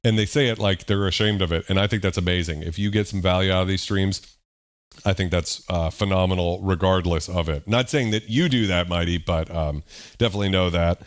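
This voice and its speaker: A man hosting a casual livestream, thinking aloud in a relaxed, slightly growly voice as he tries out sounds. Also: low voice, brisk. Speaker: livestream host. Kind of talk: casual livestream chat while demoing a synth. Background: loud synthesizer playing.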